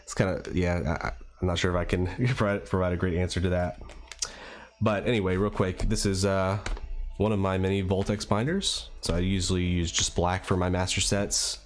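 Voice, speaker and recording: A man with a slightly raspy voice from a cold, chatting casually and a bit hesitantly as he hosts a livestream. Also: low voice, flat delivery, normal speed. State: getting over a cold. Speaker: livestream host and card collector.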